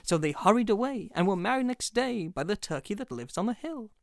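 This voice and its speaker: A man giving expressive storybook narration as a voice actor, theatrical and emphatic in his delivery. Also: high-pitched, a little fast, creaky, no echo, clean studio sound.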